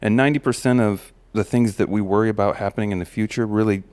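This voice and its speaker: Deep, flat-voiced man musing aloud. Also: fast talker, dry recording. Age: adult parent of a daughter. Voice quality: slight gravel in the voice. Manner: relaxed, musing, many fillers and restarts. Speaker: podcast host. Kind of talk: reflective personal monologue.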